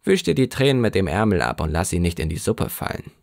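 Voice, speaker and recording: A man's voice: sits low, very animated, fast talker, creaky, darkish tone, no echo, studio quality, heavily acted. A man voicing a pompous, self-satisfied parish beadle lecturing an orphan boy in an audiobook. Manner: pompous, self-important, threatening.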